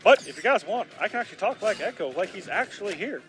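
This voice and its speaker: A man doing live play-by-play commentary on a fighting game, excitable and bursting loud on big plays, his voice slightly raspy from long casting. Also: sits high, fast talker, soft background music. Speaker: esports commentator.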